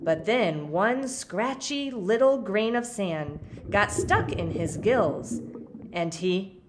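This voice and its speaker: A woman reading a children's picture book aloud expressively, with dramatic pauses and emphasis for young listeners. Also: medium pitch, expressive, background noise. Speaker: storybook reader.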